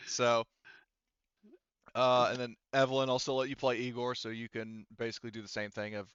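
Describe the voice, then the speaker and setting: A man acting as game master, casually narrating and making rulings while thinking aloud in a halting way. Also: mid-range voice, flat delivery, a bit bright, clean tone, no echo, lo-fi sound.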